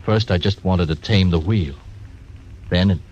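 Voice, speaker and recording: An actor playing a blunt, fearless gambler, in 1940s radio acting. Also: male voice, sits low, a little slow, darkish tone. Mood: menacing. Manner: menacing, blunt. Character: hard, fearless gambler and croupier. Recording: dull old radio recording, faint hiss.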